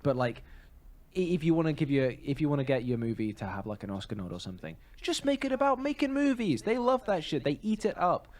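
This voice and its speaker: A man's voice: on the high side, sing-song, quick pace, a little mellow. A man co-hosting a podcast, chatting casually about film and TV. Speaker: podcast host. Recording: faint background noise.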